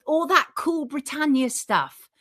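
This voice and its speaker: A woman interviewing a guest in a relaxed conversation, often agreeing and prompting. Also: sits high, unhurried. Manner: agreeable, frequent affirmations.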